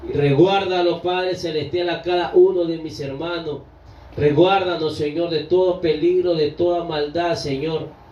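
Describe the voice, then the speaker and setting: A man's voice: on the high side, fairly even intonation, moderate pace, warm tone. Fervent praying man, roomy and distant. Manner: fervent, repeats "Lord" after almost every phrase; pleading, with frequent restarts. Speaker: prayer leader. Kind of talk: spontaneous spoken prayer. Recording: roomy, distant, dull lo-fi sound.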